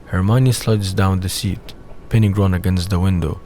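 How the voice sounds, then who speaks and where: A man's voice: deep voice, fairly even intonation, normal speed, dark tone. A man reading scripted scene narration for an audio drama. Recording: faint background noise.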